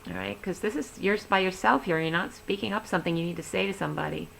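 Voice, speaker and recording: Middle-aged lady rambling thru a tarot reading, kinda low, voice going hoarse, faint hum behind. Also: fast, fairly bright tone. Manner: chatty, rambling, laughs easily. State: losing her voice. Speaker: tarot reader. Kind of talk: casual tarot reading to camera.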